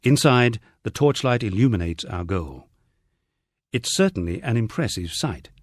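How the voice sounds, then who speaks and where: A man's voice: fairly low pitch, lively intonation, moderate pace, no echo, studio quality. A man reading a first-person memoir as an audiobook, in a wryly understated storytelling manner. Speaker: audiobook narrator.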